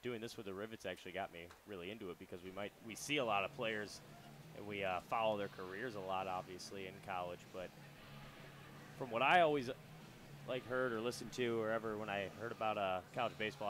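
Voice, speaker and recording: A young adult man doing casual radio baseball commentary and play-by-play from a ballpark booth. He talks in a relaxed, chatty way with loose fillers. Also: on the low side, a little fast, slight background noise.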